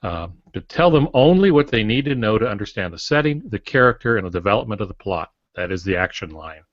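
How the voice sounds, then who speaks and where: A man with a lowish voice, teaching casually as a podcast co-host. He gives advice in short maxims, heard close and dry with little room sound. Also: expressive, fast, dry recording. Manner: advisory, speaks in maxims. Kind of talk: casual instructional talk. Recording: dry, dull lo-fi podcast audio.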